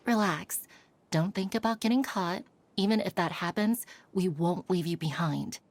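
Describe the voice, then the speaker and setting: An actress playing a calm, reassuring female companion in a video game, encouraging and steady. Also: fairly low pitch, a little slow, slight background noise. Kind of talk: scripted game dialogue.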